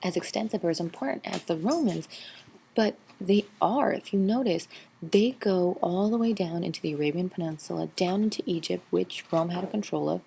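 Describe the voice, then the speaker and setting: A woman with a low, gravelly voice narrates a history review over slides in a patient, explanatory teaching manner. She fills her pauses with ums and uhs. A faint hiss sits under the recording. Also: warm tone. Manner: explanatory, filler-heavy with frequent um and uh.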